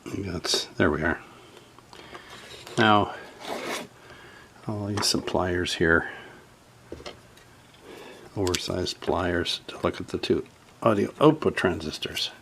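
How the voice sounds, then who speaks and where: A man giving an unscripted hands-on repair tutorial, thinking aloud haltingly as he works. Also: deep voice, creaky, background noise. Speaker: host of a radio repair video.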